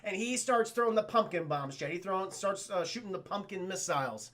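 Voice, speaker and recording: A man's voice: high-pitched, expressive, a little fast, pushed and bright. A man streaming a casual, profane scene-by-scene cartoon recap, stammering and addressing his viewers.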